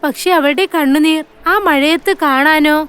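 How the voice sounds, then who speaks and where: A woman's voice: high voice, steady tone, unhurried, fairly bright tone. A woman narrating a scripted moral story for children.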